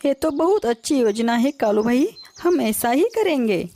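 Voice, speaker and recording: A woman's voice: high voice, sing-song, unhurried, mellow, a little hiss or hum, full character voice. A woman voicing an earnest, friendly little female bird in a children's cartoon story. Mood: eager. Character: trusting little female sparrow, friendly but determined.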